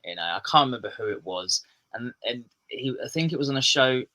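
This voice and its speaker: A man with a southeast English accent chats loosely as a podcast guest, trailing off and restarting his sentences. His voice sits at a middling pitch with a clear, bright tone. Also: animated, brisk. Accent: English, southeast England. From England. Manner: rambling, restarts sentences, self-deprecating humour. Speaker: podcast guest, metal musician. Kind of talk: casual podcast chat.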